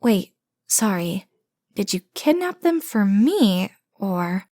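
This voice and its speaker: A woman's voice: mid-range voice, sing-song, takes it slowly, mellow, dry recording. A woman playing an obsessive, bickering kidnapper sister in a comedic audio roleplay, with a theatrical, boastful and rambling delivery. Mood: casual, puzzled.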